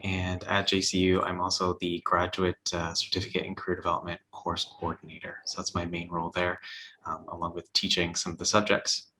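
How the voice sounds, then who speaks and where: Bright, lower-voiced Canadian man. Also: monotone, a little fast, a little hiss or hum, low-quality recording. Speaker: university lecturer, panelist. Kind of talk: panel self-introduction, informal professional.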